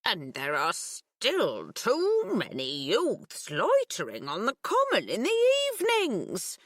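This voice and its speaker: A woman voicing a croaky, complaining old woman in an audiobook, in a drawl. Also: medium pitch, wildly swooping pitch, slow, fairly bright tone, no room sound, clean studio sound, voice pushed up, heavily acted.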